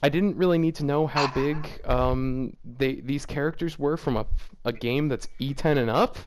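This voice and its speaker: A man's voice: medium pitch, no room sound. Awkward man reading aloud, dry sound. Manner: awkward and halting, with frequent "like" fillers. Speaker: channel host reading fan fiction aloud. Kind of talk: casual reading with reactions. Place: home shared with several people.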